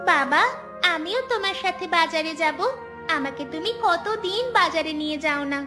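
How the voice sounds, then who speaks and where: Very high-pitched pleading little girl. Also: normal speed, over music. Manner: whining, childlike pleading. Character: pleading, eager poor little village girl. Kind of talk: cartoon voice acting.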